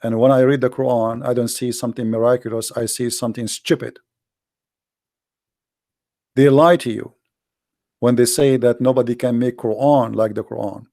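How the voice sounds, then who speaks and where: A man's voice: mid-range voice, steady tone, moderate pace, creaky, long pauses, dark tone, dry recording, studio quality. Emphatic man with an Arabic accent. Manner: emphatic, blunt, repeats phrases, rhetorical questions. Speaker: host, religious polemicist. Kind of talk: impassioned informal monologue to online audience.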